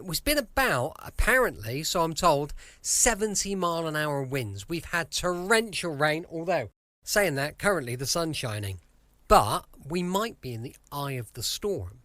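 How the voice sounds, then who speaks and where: English man, podcast host, fairly high voice, very lively intonation, informal chirpy chat, dry home recording. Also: no room sound. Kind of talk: chatty podcast introduction.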